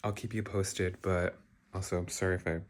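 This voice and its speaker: Lower-pitched man, casual and emphatic vlogger. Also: little pitch movement, normal speed. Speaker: book vlogger. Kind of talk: casual live reading-reaction vlog.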